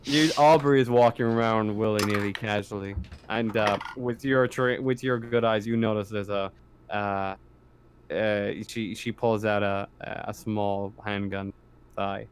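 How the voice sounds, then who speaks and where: Guy game mastering an rpg, deepish smooth voice, stops and starts hunting for words. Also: steady tone. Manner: halting, searching for words. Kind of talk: narrating a tabletop roleplaying game.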